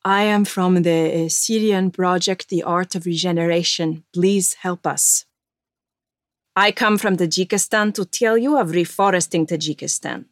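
A woman's voice: fairly low pitch, a little slow, dry recording, clean studio sound. A woman representative reading out projects in a plain, matter-of-fact scripted way. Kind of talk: scripted roll-call reading.

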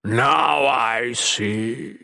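Slow, rumbling, monstrous demon villain. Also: male voice, vocal fry, sharp tone, no echo, clean studio sound, full character voice. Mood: gloating. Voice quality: rumbling, thundering. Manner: slow, drawn-out, taunting delivery. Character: monstrous undead demon villain, mocking, menacing, male.

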